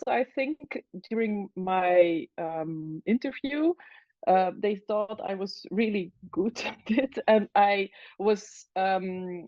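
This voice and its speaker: A woman from Belgium, an anesthesiologist speaking in a casual interview, hesitant with many fillers and pauses. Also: medium pitch, dry recording, lo-fi sound. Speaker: anesthesiologist guest.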